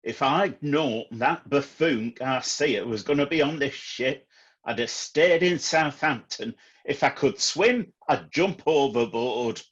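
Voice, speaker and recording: A man's voice: mid-range voice, slow, husky, dull audio. An actor playing a pompous, exasperated businessman and long-suffering son in a comedy radio play. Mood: indignant. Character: exasperated, self-important businessman son of deaf mother.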